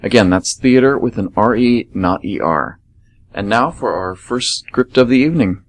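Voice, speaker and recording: A man's voice: lowish voice, expressive, normal speed. A man hosting and narrating a radio theater show in scripted announcements and narration.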